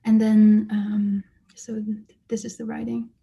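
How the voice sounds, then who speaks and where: A woman who grew up in Germany, an artist giving a lecture about her exhibition and speaking thoughtfully with frequent pauses and fillers. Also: on the high side, completely flat delivery, vocal fry, dark tone, dull audio. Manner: hesitant, many fillers and restarts, thoughtful. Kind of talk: lecture presentation. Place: lecture or online presentation.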